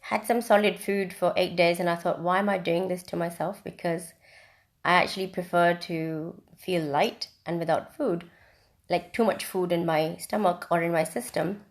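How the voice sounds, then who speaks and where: A woman's voice: lowish voice, fairly even intonation, normal speed. A woman from India teaching on a live video stream in a reflective, casual way, with frequent ums and restarts. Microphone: phone or webcam on a live video stream.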